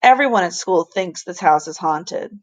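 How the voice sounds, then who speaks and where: A woman's voice: fairly low pitch, expressive, moderate pace. Storybook schoolboy played by a woman: polite, thoughtful, inquisitive, a touch tentative. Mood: curious, unsure.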